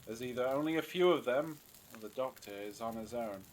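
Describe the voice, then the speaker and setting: A cynical, scornful male captive in an audio drama, acted by a man. His voice is mid-pitched and his delivery sardonic and dismissive. There is faint noise and ambience behind him. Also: brisk, lightly acted, poor audio. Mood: wary, commanding. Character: suspicious, scornful male abductee, cynical and sarcastic.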